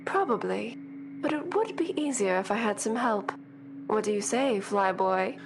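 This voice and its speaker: A woman's voice: mid-range voice, expressive, normal speed, creaky, noisy background. A woman playing a confident, teasing sentient starship in an audio drama, playful and self-assured.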